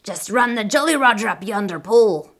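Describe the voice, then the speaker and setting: A woman voicing a gruff, bossy male pirate captain in a storybook, booming out drawn-out commands in pirate slang. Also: animated. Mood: gruff, hearty. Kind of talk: storybook character voice.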